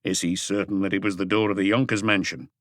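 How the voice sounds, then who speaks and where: Man playing a terse, low-voiced police chief. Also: steady tone, fast talker, fairly bright tone, no echo, clean studio sound. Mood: terse, calm. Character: calm, authoritative male French chief police detective.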